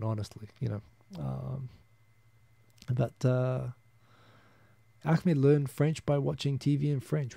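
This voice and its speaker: Gravelly New Zealand man chatting casually. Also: mid-range voice, mellow, dry recording. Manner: rambling, chuckling, frequent restarts. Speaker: live-stream host. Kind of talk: casual solo live-stream chat reading viewer comments.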